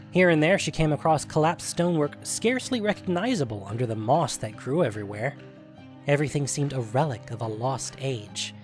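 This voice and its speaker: Male fantasy story narrator over music. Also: mid-range voice.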